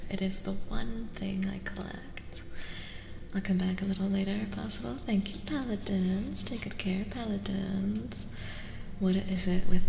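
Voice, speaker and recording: Soft-spoken woman, slow and intimate, with reverb. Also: mid-range voice, gravelly, noisy background. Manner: gentle, intimate, often dropping into whispers. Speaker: livestreamer giving tarot readings. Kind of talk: soft-spoken ASMR, casual chat with stream viewers.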